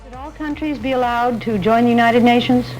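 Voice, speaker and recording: A woman hosting a TV program, announcing in a formal, measured 1950s broadcast manner. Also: a little high, steady tone, darkish tone, soft background music, low-quality recording. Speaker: TV program host.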